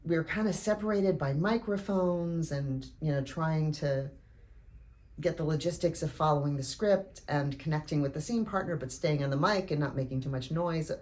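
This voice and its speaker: A woman's voice: low-pitched, normal speed, darkish tone. A woman speaking casually in an interview as an actress reflecting on her craft. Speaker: actress in an audio drama.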